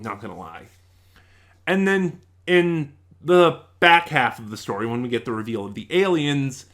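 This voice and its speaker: A man's voice: on the high side, a bit bright. A man giving a casual, unscripted review, thinking aloud and correcting himself as he rambles along. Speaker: YouTube audio drama reviewer.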